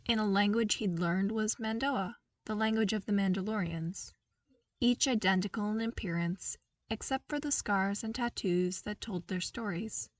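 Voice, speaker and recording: Female story reader, mid-pitched, expressive scripted delivery, dry sound with a little distance from the mic. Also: normal speed, no room sound. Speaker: fan fiction reader.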